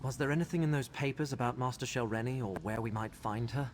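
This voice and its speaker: A man's voice: medium pitch, quick pace, natural voice. Man playing an earnest adventurer, noisy backdrop. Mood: inquisitive, thoughtful. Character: earnest adventuring companion scholar, protective and inquisitive man. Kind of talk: video game dialogue.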